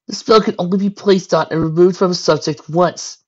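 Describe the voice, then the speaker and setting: A woman's voice: lowish voice, fairly even intonation, pitched above the performer's own voice, lightly acted. A woman voicing an earnest young male dragon who explains things carefully in a story reading. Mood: grave, explaining. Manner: slow, explanatory. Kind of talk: fan fiction story reading. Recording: dull lo-fi recording.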